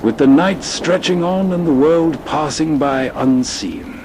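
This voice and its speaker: A man hosts with scripted, atmospheric narration. His voice sits slightly high and moves very slowly, with faint train sound behind it. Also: background noise. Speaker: host. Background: train ambience.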